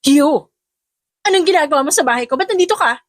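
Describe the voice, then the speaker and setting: Woman acting high-pitched, hot-tempered young woman. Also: animated, fast, no room sound, studio quality. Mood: shocked, angry. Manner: exclaiming, indignant, insistent. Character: hot-tempered, indignant young woman, tipsy then shrieking at her neighbour.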